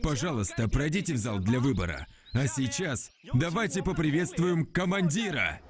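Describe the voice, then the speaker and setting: A man voicing a formal, commanding master of a martial selection ceremony in an animated series dub, announcing in declamatory style. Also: a little high, moderate pace, lightly acted. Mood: solemn.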